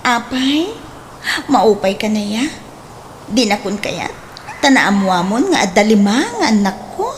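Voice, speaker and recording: A woman's voice: medium pitch, lively intonation, a little slow, pauses often, slight background noise, natural voice. A woman with an Ilocano accent playing a coy, wheedling mother of five in a radio drama. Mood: teasing, defensive.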